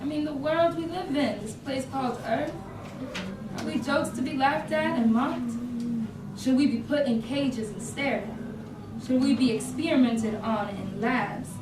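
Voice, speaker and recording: A woman's voice: sits high, a little slow. A woman acting a poetic, searching and defiant character in a staged reading of a play. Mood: questioning, indignant. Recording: echoey, distant, noisy live stage recording.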